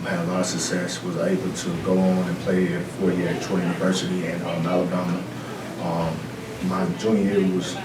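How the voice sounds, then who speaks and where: Deep-ish voiced mississippi coach rambling earnestly, far off mic, echoey noisy room. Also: male voice. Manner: earnest, rambling storytelling with many fillers. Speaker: football coach. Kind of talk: self-introduction to a room. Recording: roomy, distant, noisy room recording.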